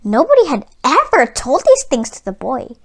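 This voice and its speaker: A woman reading a children's story aloud as a storyteller, with an expressive, deliberate storybook delivery. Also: high voice.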